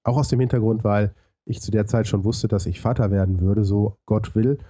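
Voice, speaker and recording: A man's voice: on the low side, steady tone, normal speed, warm tone, slightly rough, dry recording. A man with a lisp telling his story as a podcast interview guest, explaining point by point with frequent hesitations.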